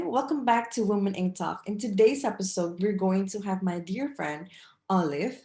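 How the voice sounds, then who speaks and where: A woman's voice: medium pitch, a little mellow. A woman hosting an interview, asking polite, somewhat hesitant questions. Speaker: host. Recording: echoey room.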